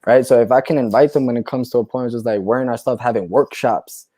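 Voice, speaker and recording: A man's voice: medium pitch, quick pace, no room sound. Easygoing man telling a rambling story. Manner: relaxed, rambling storyteller, says "you know" and "right?" a lot. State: a little tipsy from wine. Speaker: clothing brand founder, guest. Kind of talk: casual conversation.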